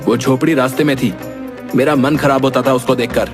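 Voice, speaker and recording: A man playing a spoiled, defiant young prince in an animated moral story, arrogant and dismissive in manner. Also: mid-range voice, fairly quick, close to an everyday voice. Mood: petulant, dismissive. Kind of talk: dramatic animated story dialogue. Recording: echoey hall reverb, music behind.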